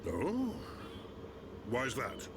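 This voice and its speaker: Wry elderly chief, slow and measured, wildly varied intonation, game fantasy, noisy background. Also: male voice, mid-range voice, wildly swooping pitch, full character voice. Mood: gentle, inquiring. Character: wry, unhurried old male chief of machine people. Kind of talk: video game cutscene acting.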